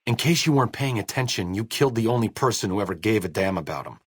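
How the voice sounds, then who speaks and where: Sardonic idealist doctor, bookish, self-deprecating, wordy, lively intonation, dry close studio sound, video game. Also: male voice, fairly low pitch, fast talker, husky, no echo. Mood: dry, disapproving. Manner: dry, sarcastic, wordy delivery.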